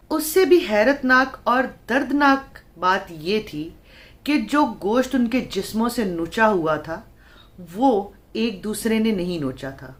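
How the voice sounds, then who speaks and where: A woman telling a horror story in a dramatic, suspenseful way, phrasing it deliberately with pauses. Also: fairly low pitch. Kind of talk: dramatic horror story narration in Urdu-Hindi.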